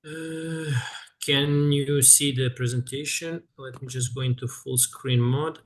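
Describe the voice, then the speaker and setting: A man in his thirties from Serbia, speaking English, giving a halting presentation over a video call with frequent filler sounds. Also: mid-range voice, steady tone. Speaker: guest speaker, researcher. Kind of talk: presentation in an online seminar.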